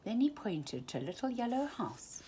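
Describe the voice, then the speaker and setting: A woman reading a children's storybook aloud, slow and expressive. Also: fairly high pitch, slightly rough. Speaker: storytime reader.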